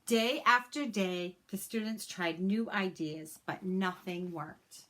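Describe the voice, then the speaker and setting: Female storybook reader, mid-pitched, unhurried and expressive, read-aloud for young children. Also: low-quality recording.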